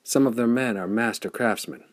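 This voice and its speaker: A man's voice: medium pitch, normal speed, dry recording, pitched above the performer's own voice, natural voice. A man voicing a knowledgeable companion in an audiobook, explaining things in a formal way. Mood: admiring, explanatory.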